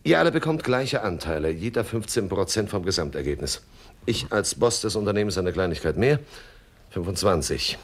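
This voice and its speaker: A man's voice: low voice, big pitch swings, fairly quick, husky, heavily acted. A man playing a scheming, self-important gang boss with a pompous, theatrical manner in a German radio crime comedy. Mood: jovial, grandiose. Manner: pompous, theatrical, falsely genial.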